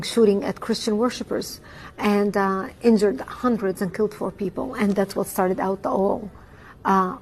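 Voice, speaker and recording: Woman in her 60s, telling her story slow and serious, lots of ums, mid-pitch voice. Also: low-quality recording. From Lebanon. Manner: measured, earnest, with frequent ums. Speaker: interviewee. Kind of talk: personal testimony.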